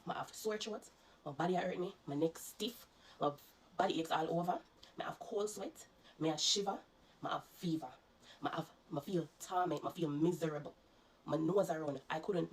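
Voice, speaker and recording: A woman's voice: lowish voice, low-quality recording. A Jamaican woman vlogger, congested and coughing, earnestly recounting her illness to her viewers and warning them. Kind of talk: personal storytelling to viewers.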